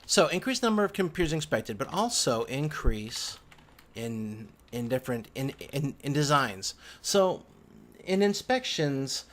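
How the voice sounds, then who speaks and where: A man giving an informal tutorial as an instructor, thinking aloud in halting phrases with frequent restarts. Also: medium pitch, sing-song, a bit bright. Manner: halting, hesitant phrasing with repeated word restarts. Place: indoors at a computer. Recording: clear background noise, keyboard typing.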